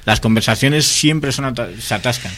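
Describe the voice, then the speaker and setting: A man with a Spanish accent from Spain, chatting casually and telling anecdotes in an animated way, often quoting people. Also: medium pitch, normal speed, a little hiss or hum. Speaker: guest, science video creator.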